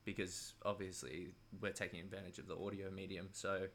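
Male interview guest, low but bright and forward voice, conversational, meandering and hesitant delivery. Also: little pitch movement, brisk, slight background noise.

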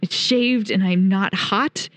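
A woman co-hosting a casual podcast, chatty and quick to laugh. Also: mid-range voice, no room sound. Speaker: podcast co-host. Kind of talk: casual chat.

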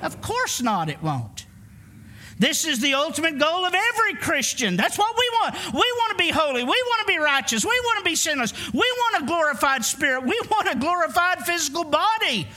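A man's voice: very high-pitched, very animated, fairly quick, pushed and bright. A man, preaching a sermon emphatically and calling for answers from the congregation. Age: 50s. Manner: emphatic, call-and-response preaching. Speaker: pastor. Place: church.

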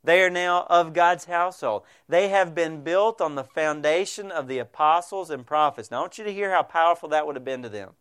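A man's voice: a little high, fairly quick, hardly any pauses, fairly bright tone, clean tone. A male pastor preaching a sermon, earnest and direct, addressing the congregation with frequent rhetorical questions.

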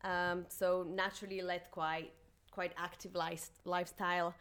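A woman's voice: lowish voice, level tone, unhurried, fairly bright tone, clean tone. A woman in her thirties from Slovakia, chatting casually as a guest in an interview. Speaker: podcast guest.

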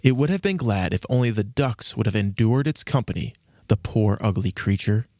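A man narrating a classic fairy tale in an expressive, old-fashioned storytelling manner. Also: lowish voice, normal speed, no echo, studio quality.

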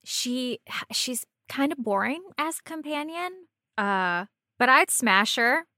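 A woman co-host chats casually about gaming in a bright, medium-pitched voice. Her intonation is animated and her manner is playful and teasing. The recording is dry and free of echo. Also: takes it slowly. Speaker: podcast co-host. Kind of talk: casual chat about a video game.

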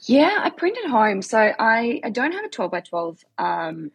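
A woman's voice: a little high, big pitch swings, normal speed, vocal fry, breathy edge. A woman, chatting as a podcast guest, talking freely with frequent fillers and easy laughter. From South Africa, raised in Australia. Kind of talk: casual interview chat.

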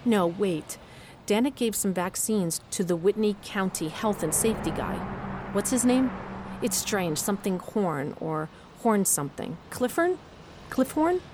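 A woman playing a determined mother who narrates her own adventure in a scripted audio drama, measured but with dramatic emphasis. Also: mid-range voice, animated, background noise, lightly acted. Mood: urgent, wry. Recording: loud music bed under voice. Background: music.

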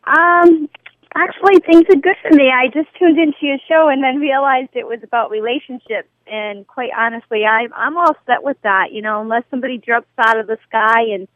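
A woman's voice: high voice, fairly quick, no echo. A woman in her fifties with a young-sounding voice, calling in to a radio show and chatting casually with frequent ums. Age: 50s. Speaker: caller. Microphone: telephone. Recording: tinny phone line.

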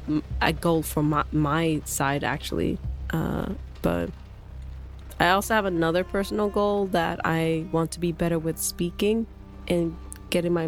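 A woman co-hosting a podcast, speaking English as a second language in halting, hesitant phrasing with lots of "like" and restarts. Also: low-pitched, vocal fry. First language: not English. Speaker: podcast co-host. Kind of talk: casual podcast chat. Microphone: podcast microphone.

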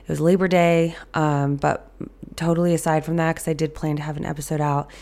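A woman hosts her podcast in a loose, chatty way, talking on with plenty of ums and restarts. Her voice is low, raspy and gravelly with fry, hoarse after being ill. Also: flat delivery, fairly quick, darkish tone. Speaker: podcast host. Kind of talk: casual solo podcast talk.

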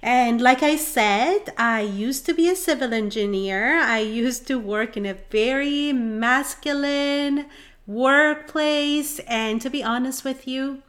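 A woman in her thirties with a Persian accent, talking as a relationship coach in an earnest, encouraging solo monologue that rises to excited emphasis. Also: high voice, slow. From Iran. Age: 30s. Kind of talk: heartfelt solo podcast monologue.

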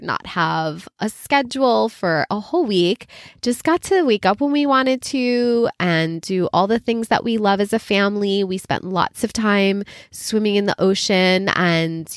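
A woman's voice: medium pitch, dry recording, studio quality. A woman in her thirties hosting her own podcast, talking casually in a chatty, upbeat, rambling way with a nasal, slightly scratchy voice from a cold. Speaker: podcast host. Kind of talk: casual solo podcast chat.

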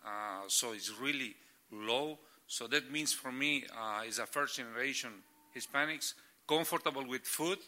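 A middle-aged man whose first language is Spanish talks through his church work in halting, searching English. His voice is very bright and forceful. He stops often to find the next word. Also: medium pitch. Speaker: church planting catalyst. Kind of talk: guest sharing ministry stories.

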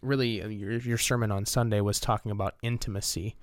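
A man's voice: lowish voice, steady tone, quick pace, no room sound. A man co-hosting a podcast in casual conversation, speaking hesitantly with frequent fillers. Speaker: podcast co-host. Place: small home studio.

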